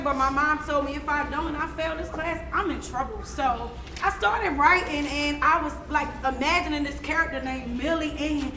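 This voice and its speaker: A woman's voice: high-pitched, bright, forceful tone. A woman playing a sassy, reluctant schoolgirl, with casual slangy delivery in a stage play. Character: sassy, reluctant teenage schoolgirl giving a class presentation. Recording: echoey stage play, faint noise.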